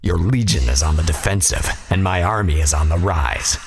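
A man voicing a giant, menacing wolf king in a fantasy story, with a deep rasping growl and slow, imperious, formal speech. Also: expressive, noisy background. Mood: commanding, eager.

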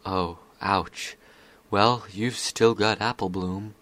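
A man's voice: lowish voice, steady tone, slow pace, a bit bright. A man voicing a sly, insinuating male spirit in a story reading. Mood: mock-soothing.

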